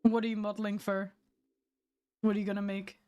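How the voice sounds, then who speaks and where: A woman's voice: mid-range voice, level tone, fairly quick, warm tone, highs cut off. A young adult woman streaming, chatting candidly with her viewers, a little nasal from a cold. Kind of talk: casual stream chat.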